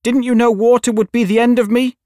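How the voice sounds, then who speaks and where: A man's voice: fairly high pitch, wildly swooping pitch, unhurried, no echo, clean studio sound, full character voice. A man voicing a wicked, gloating witch in a theatrical, wailing storybook manner. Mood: wailing, despairing.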